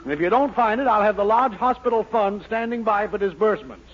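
A man's voice: high-pitched, fairly quick, natural voice. An actor playing an exasperated, easily rattled small-town businessman, sputtering and dryly resigned in 1940s radio comedy style. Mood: defensive. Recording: dull, slightly noisy old radio recording.

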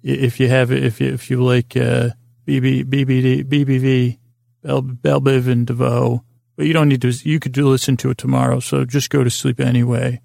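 A man with a gravelly, creaky voice hosts a bedtime show in a calm, lulling manner. His delivery stays flat and even as he meanders, hesitates and doubles back on his phrases. The sound is dry, with no room around it. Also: medium pitch, dry recording. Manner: hesitant, halting, circles back and repeats himself. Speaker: host of a sleep podcast. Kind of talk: meandering, rambling bedtime monologue.

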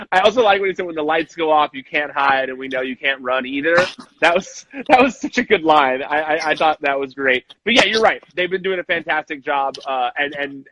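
A young man co-hosting a casual wrestling podcast, animated and quick to act out lines he quotes. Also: fairly high pitch, low-quality recording. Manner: animated, acts out quotes in voices. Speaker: podcast co-host.

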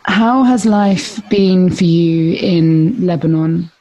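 Low, mellow-voiced woman interviewer. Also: slow, poor audio. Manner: sympathetic, prompting with confirming questions. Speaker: interviewer, podcast host. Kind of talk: interview questions.

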